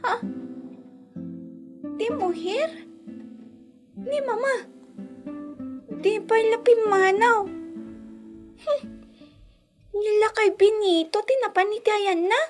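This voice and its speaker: Woman playing a very high-voiced, plaintive little girl. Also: slow pace, pauses often, faint music behind. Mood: wistful. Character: small plaintive girl, daughter pining for Mama. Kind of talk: radio drama acting.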